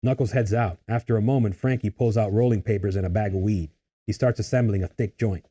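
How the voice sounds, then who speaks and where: Low, mellow male screenplay narrator. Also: fairly even intonation, fairly quick, husky. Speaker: narrator reading stage directions.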